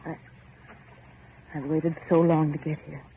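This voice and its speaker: An actress playing an anxious, insistent young heiress in vintage radio horror, questioning with pleading, melodramatic urgency. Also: female voice, deep voice, little pitch movement, unhurried. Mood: wondering, uneasy. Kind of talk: 1940s radio horror acting. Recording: noisy muffled old radio recording.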